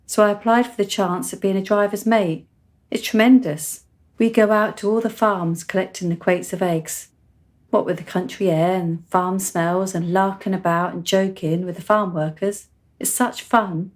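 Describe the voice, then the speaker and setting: An actress performs a frank country teenager. Her pitch sits in the middle and her speech is articulate and grown-up for seventeen. She chats in a cheerful, matter-of-fact way, as radio drama. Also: fairly even intonation, normal speed, natural voice. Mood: cheerful, enthusiastic. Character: frank, cheerful seventeen-year-old country girl, precociously mature. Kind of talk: radio play acting.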